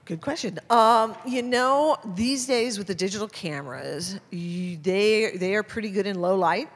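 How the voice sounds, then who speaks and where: An American woman in middle age talks casually as a guest at a public event. She speaks at a medium pitch, halting and often correcting herself. The sound carries the long reverb of a hall. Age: middle-aged. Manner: halting, self-interrupting, informal. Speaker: guest photojournalist. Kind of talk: casual question-and-answer talk before an audience. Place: indoor hall at a public talk.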